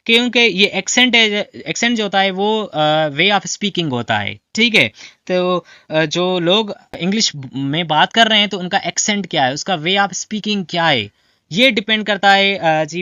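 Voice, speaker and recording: A man's voice: high-pitched, bright, forceful tone, smooth, no echo, dull audio. A man from Pakistan, teaching English in a lecture style, mixing languages and often checking in with his listeners. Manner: mixes Hindi-Urdu and English, frequent fillers and "theek hai?" check-ins.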